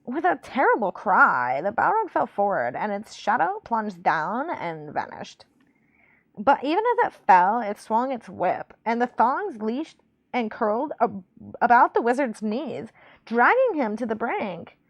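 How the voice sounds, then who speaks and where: Woman putting on a ditzy, upspeaking dumb-blonde girl, high and swoopy. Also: big pitch swings, unhurried, no echo, highs cut off, exaggerated voice. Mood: dramatic. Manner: airy, questioning upspeak.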